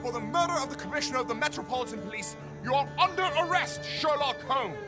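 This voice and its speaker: An actor playing a stern, officious police inspector who proclaims pompously in an audio drama. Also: male voice, very high-pitched, animated, fast, loud music behind the voice. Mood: commanding.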